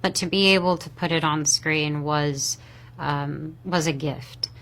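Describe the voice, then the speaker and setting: A woman speaking softly and reflectively in an interview clip, pausing with hesitant fillers. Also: low-pitched, fairly even intonation.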